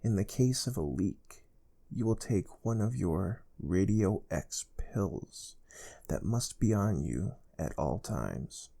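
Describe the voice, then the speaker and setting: A man playing a calm, soft-spoken space research team interviewer in an ASMR role play, explaining things gently and without hurry. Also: lowish voice, gravelly, heavily acted. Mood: calm, instructive. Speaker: ASMR performer.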